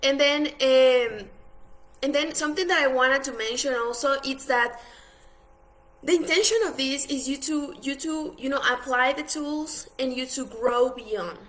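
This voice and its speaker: Earnest Ecuadorian woman coaching, high voice. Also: measured pace, a bit bright, rough recording. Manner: earnest, many restarts and fillers. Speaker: business coach. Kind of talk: online masterclass talk.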